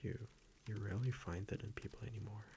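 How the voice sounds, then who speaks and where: A man playing a tender, blunt vampire boyfriend in an intimate ASMR roleplay, murmuring softly and haltingly close to the mic. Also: on the low side, fairly even intonation, slight background noise. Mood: tender, reassuring. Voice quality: breathy, gravelly murmur, often whispered. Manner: soft, halting, affectionate, with small pauses. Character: tender, blunt young male vampire boyfriend, teasingly possessive. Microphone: close microphone.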